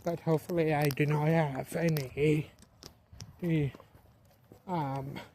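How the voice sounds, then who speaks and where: Slow, rambling young man on a street. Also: fairly high pitch, steady tone, warm tone, a little hiss or hum. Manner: slow, repetitive, restarts sentences often. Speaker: vlogger. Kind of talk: casual vlog narration. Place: outdoors on a street at night.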